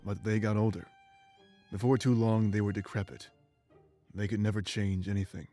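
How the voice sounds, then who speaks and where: Man voicing ancient game warrior, low pitch, solemn and bitter, measured confessional delivery, music behind. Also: flat delivery, dark tone, close to an everyday voice. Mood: grave, confessional. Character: ancient immortal former rebel warrior, remorseful and weary man. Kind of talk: dramatic game voice acting, confessional monologue.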